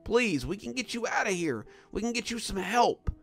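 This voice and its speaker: Man acting frightened male negotiator, horror story dialogue, urgent pleading, raised pitch, faint music underneath. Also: sing-song, normal speed, vocal fry, full character voice. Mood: desperate pleading.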